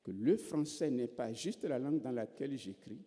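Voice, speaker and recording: A man's voice: mid-range voice, animated, moderate pace, mellow. A man from Togo, a writer on a festival panel, telling his story with wry, self-mocking humor. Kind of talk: reflective panel talk. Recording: reverberant festival hall.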